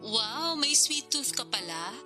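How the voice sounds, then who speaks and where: A modest, talkative single mom in her thirties in a radio romance. Her voice is fairly high. Music is clearly audible underneath. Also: animated, slow, pushed and bright, full character voice. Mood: playful, friendly. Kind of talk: radio drama dialogue. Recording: clear music bed behind dialogue.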